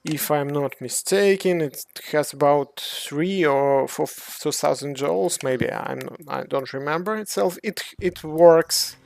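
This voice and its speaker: Slow, hesitant Ukrainian-accented speaker. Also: mid-range voice, vocal fry, a little mellow, slight background noise. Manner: halting non-native English, frequent hesitations. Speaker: game developer streamer. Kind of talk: casual livestream answering chat.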